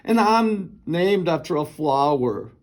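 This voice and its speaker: A man's voice: on the high side, animated, takes it slowly, voice pushed up. A man voicing a miserable young schoolgirl in a children's storybook, with a dragging delivery.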